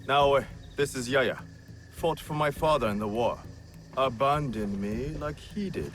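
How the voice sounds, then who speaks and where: An actor playing a proud, bitter, disowned rebel warrior in a video game drama, brooding and theatrical. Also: male voice, mid-range voice, measured pace, over music, natural voice.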